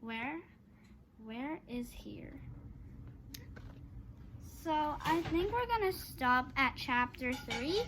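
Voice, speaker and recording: Female storybook reader, high pitch, halting delivery, audible background noise. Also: lively intonation. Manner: halting, stumbles over long words. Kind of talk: read-aloud of a children's chapter book.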